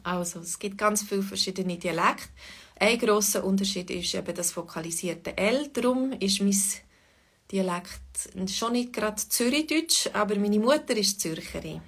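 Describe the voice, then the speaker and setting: A woman with a Swiss German accent, a Bernese and Lucerne mix, talking through a relaxed lesson with thoughtful pauses and fillers. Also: mid-range voice, creaky, husky. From Switzerland.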